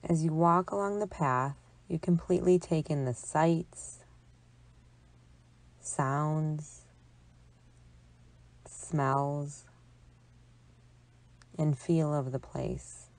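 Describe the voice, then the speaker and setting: A woman with a low voice guides a relaxation meditation at a very slow pace. Her delivery is calm and soothing, measured out with long pauses. The recording is dry and close, with little room sound. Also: no echo, low-quality recording. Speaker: psychologist leading guided imagery.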